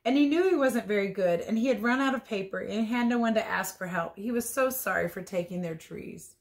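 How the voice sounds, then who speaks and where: A woman reading a picture book aloud as a teacher would, expressive and playful, with chatty asides about the pictures. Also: mid-range voice, brisk. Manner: playful, chatty, commenting on the pictures as she goes. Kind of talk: storybook read-aloud with casual asides.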